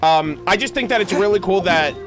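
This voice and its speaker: Male game streamer, higher register, chatty slangy reactions, game music underneath. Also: animated, fairly quick. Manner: slangy, addresses chat. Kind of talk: casual live reaction commentary.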